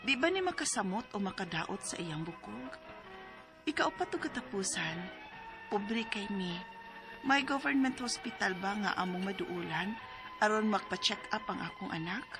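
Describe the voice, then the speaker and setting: A woman's voice: mid-range voice, expressive, normal speed, lightly acted. A woman playing a worried, poor mother in a radio drama, plaintive and sighing, with a Cebuano accent. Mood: worried pleading. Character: worried, poor mother of an ailing college student. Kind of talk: radio drama acting and letter narration. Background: music.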